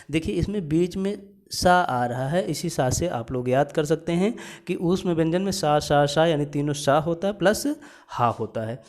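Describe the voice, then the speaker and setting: A man's voice: on the high side, darkish tone. A male teacher going through exam questions step by step, asking questions and answering them himself, and repeating each answer. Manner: explains step by step, repeats answers, asks and answers his own questions. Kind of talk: exam-prep lesson.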